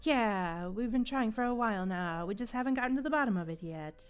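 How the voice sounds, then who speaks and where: A woman's voice: a little high, lively intonation, a little fast, clean tone, soft background music. A woman livestreaming a game, chatting casually and musing aloud in an informal, slangy way. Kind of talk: casual live stream chat and commentary.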